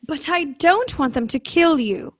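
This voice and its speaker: A troubled, tender-hearted wife acted by a woman in a radio drama. The voice is high and dry, with an earnest, formal way of questioning and appealing. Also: animated, unhurried, dry recording. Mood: pleading.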